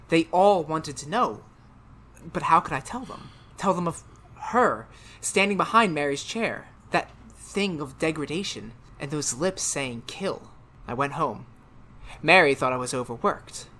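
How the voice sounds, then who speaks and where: A woman playing a tormented, haunted man in a 1930s-style radio horror drama, speaking melodramatically with halting, emphatic repetitions. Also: deep voice, expressive, a bit bright, full character voice. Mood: anguished.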